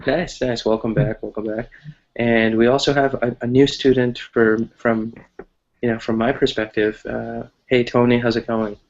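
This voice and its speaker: American man, English teacher, encouraging, conversational explaining, frequent check-ins, medium pitch, dull online-call audio. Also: flat delivery, normal speed. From United States. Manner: encouraging, often checks with "right?". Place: online video class.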